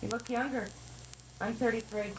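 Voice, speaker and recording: A woman's voice: fairly high pitch, steady tone, normal speed, a little hiss or hum, natural voice, poor audio. An actress playing a guarded woman in her thirties with a dry, sardonic way of talking. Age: 30s.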